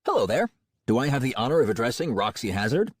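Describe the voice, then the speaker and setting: A man reading an audiobook, voicing a mysterious man who sounds professionally warm and confident, like a cold-calling salesman. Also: medium pitch, big pitch swings, fast, no echo, clean studio sound. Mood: smooth.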